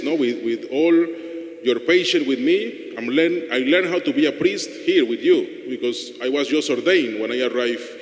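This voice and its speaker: A man, a parish priest whose first language is Spanish, speaking accented, halting English to his congregation in a church. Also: on the high side. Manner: halting non-native English with "no?" tags. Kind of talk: homily and informal remarks to a congregation. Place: inside a church. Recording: echoey church with long reverb.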